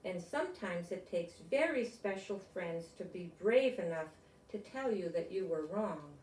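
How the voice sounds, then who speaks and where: An older woman reading a picture book aloud with chatty asides, explaining gently and simply as if to children. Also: fairly low pitch, animated, measured pace, a little hiss or hum. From United States. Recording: roomy echo, distant microphone.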